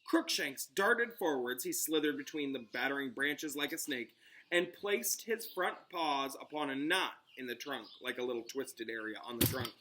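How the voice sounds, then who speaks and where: A man's voice: medium pitch, animated, moderate pace, bright, forceful tone, a little hiss or hum, low-quality recording. A man reading a storybook aloud as a teacher, with dramatic narration aimed at children. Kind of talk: expressive storybook read-aloud with asides.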